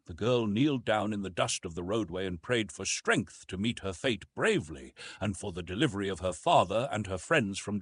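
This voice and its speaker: A man's voice: medium pitch, a bit bright, slightly rough, no room sound. A man reading an adventure novel aloud as dramatic scripted narration. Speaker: audiobook narrator.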